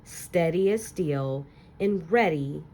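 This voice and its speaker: A woman teacher reading a children's picture book aloud with gentle, expressive storytelling and soft asides to young listeners. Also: low-pitched, takes it slowly.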